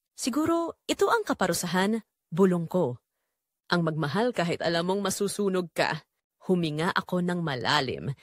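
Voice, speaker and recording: Expressive low-voiced Filipino woman storyteller. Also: no echo, clean studio sound. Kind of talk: dramatic first-person scripted narration.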